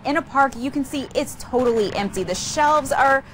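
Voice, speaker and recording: A woman's voice: high-pitched, moderate pace, a bit bright, a little hiss or hum. A woman reporting the news in a scripted TV style.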